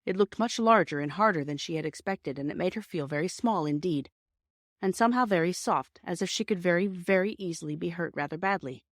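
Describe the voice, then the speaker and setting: A woman's voice: lowish voice, a little fast, dry recording, clean studio sound. A woman narrating a children's story from a script as an audiobook reader.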